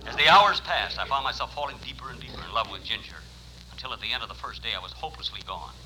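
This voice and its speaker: A man's voice: medium pitch, animated, quick pace, close to an everyday voice. An actor playing a surgeon who narrates his romance in a wry, mock-dramatic radio comedy style. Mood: wry, smitten. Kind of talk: scripted old-time radio comedy narration. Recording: noisy old radio recording.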